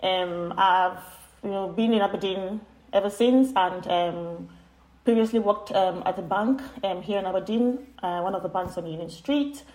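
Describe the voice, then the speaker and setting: A woman's voice: medium pitch, moderate pace, darkish tone. A woman from Nigeria with a Nigerian accent, speaking as an informal, chatty podcast guest who feels her way through her phrasing. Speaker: guest, university postgraduate admissions officer. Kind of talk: conversational podcast interview. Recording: roomy, echoey sound.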